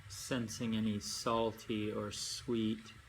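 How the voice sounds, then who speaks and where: A man leading a guided meditation as a yoga nidra teacher, speaking in unhurried phrases with long pauses and gentle instruction. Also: lowish voice, little pitch movement, rough recording. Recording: amateur recording with faint background noise.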